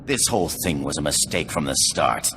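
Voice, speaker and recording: Gravelly, very deep outlaw boss. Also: male voice, expressive, moderate pace. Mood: despairing. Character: gruff, bitter male outlaw gang leader. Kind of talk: video game dialogue. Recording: cavernous reverb, music behind.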